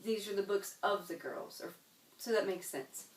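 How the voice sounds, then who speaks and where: A woman's voice: medium pitch, moderate pace. A woman teaching a French grammar lesson, explaining patiently step by step and often checking in with "okay?". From United States. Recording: echoey room, voice from a distance.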